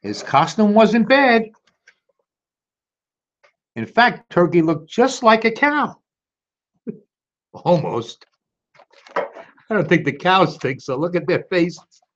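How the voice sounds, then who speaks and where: Animated, higher-pitched male storybook reader. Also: slow, pauses often. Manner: expressive, talks directly to young listeners. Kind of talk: animated children's storybook read-aloud with casual asides. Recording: dull lo-fi recording.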